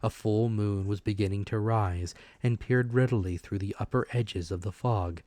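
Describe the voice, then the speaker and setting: Male audiobook narrator, lower-pitched, measured and expressive dramatic storytelling, very dry recording. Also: dry recording. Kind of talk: dramatic scripted narration of a classic adventure story.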